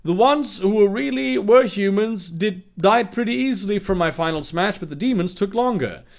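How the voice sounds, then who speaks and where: A man reads as an earnest heroine narrating a battle story. The delivery is theatrical and declamatory, raised high with lively swings in pitch. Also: moderate pace, vocal fry. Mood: dramatic, earnest.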